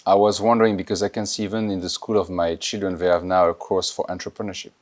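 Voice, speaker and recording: A man in his forties hosting a podcast interview, soft-spoken and asking long, searching questions. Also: low voice, little pitch movement, fast. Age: 40s. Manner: soft-spoken, frequent quiet murmurs of agreement. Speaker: podcast host. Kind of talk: conversational interview.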